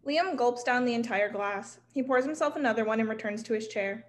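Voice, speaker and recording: A woman's voice: on the high side, a little fast. A young woman playwright introducing her play and reading out stage directions. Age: young adult. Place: indoor room, speaking at a table and over video call. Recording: clearly noisy room sound.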